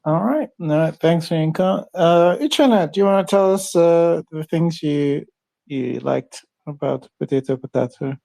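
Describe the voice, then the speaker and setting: A man's voice: fairly high pitch, measured pace, gravelly, warm tone. Man moderating a film chat. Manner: friendly, hesitant, many fillers. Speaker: podcast moderator. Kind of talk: casual podcast discussion.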